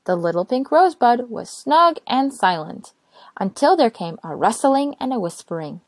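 A woman reads a children's story aloud. Her voice is fairly high and lively in pitch, expressive and careful. It is recorded dry, with little room sound. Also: normal speed, no echo. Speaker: storytime reader.

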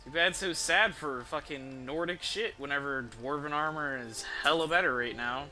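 A man's voice: fairly high pitch, moderate pace, pushed and bright, low-quality recording. A young man giving casual, profane video game commentary while chatting with his stream viewers. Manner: profane, informal slangy talk. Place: indoor room, streaming from a desk. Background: video game music.

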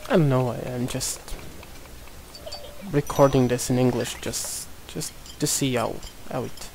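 A man's voice: medium pitch, fairly even intonation, measured pace, gravelly, a little mellow, a little hiss or hum. A young man from Portugal with a Portuguese accent, casually commenting on a game while he plays, mumbling to himself. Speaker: gameplay commentator.

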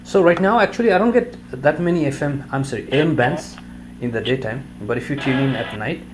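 A man talks through a gadget review at his living-room table in a relaxed, halting way, leaning on fillers as he goes. His pitch sits in an ordinary middle range, and a faint hiss of background noise stays under his voice. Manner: halting, plainspoken, many fillers. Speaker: product reviewer. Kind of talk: casual product review. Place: living room at home, indoors.

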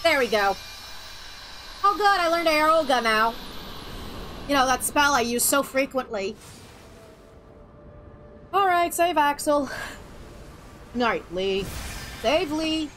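A woman's voice: high-pitched, lively intonation, takes it slowly, long pauses, fairly bright tone, a little hiss or hum. A woman streaming a game and ranting sarcastically with jokes about the plot. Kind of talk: sarcastic gameplay commentary.

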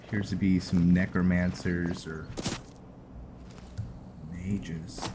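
Slow, deep-voiced man thinking aloud. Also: flat delivery, very noisy. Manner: low-key, thinking aloud. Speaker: gameplay host. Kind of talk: casual video game commentary. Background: music.

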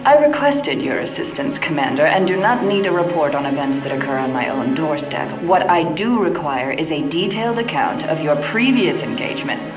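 An icy, commanding older scientist. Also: female voice, low-pitched, expressive, normal speed, hardly any pauses, rough recording. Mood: cold. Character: cold imperious older female scientist. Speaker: stern senior scientist. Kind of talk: video game cutscene acting. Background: game score music.

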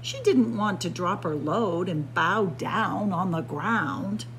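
A woman reading a picture book aloud as a storyteller, in a theatrical, deliberate way. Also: on the low side, animated, slight background noise. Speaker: library storyteller. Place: indoor library room with bookshelves.

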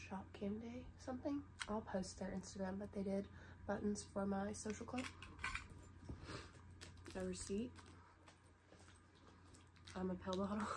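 A young woman with a stuffy head cold, chatting and rambling casually in her bedroom with lots of "like" fillers. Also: mid-range voice. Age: young adult. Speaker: vlogger. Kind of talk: casual show-and-tell chat. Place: bedroom, sitting on the bed. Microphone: camera set low in front of her. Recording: noisy home recording.

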